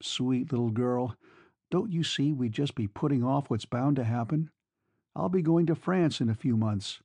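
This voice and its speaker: Casting: male reader as young soldier, world-weary sentimental lover, brooding delivery, gravelly edge, dry close sound, audiobook. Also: mid-range voice, moderate pace, warm tone, no echo, close to an everyday voice.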